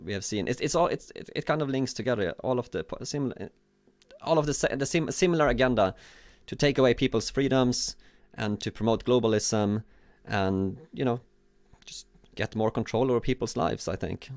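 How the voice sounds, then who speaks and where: A man hosting a podcast interview, asking questions and speaking haltingly with frequent restarts. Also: medium pitch, dry recording. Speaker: podcast host.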